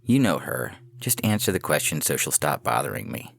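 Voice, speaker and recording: A man voicing a brisk, exasperated older-sibling type, an impatient sister on a phone call in an audio short story. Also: rumbling low, very animated, vocal fry, exaggerated voice.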